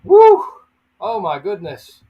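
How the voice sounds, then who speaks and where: Man, high voice, chatty livestream host, greets viewers, slightly distant mic. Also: huge pitch swings, slow pace, phone-quality sound. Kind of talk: casual live chat.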